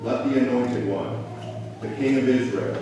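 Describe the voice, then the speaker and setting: A man's voice: mid-range voice, unhurried, lightly acted. A man playing a scornful, legalistic priest in a church dramatic reading of scripture. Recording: very echoey church, distant, noisy.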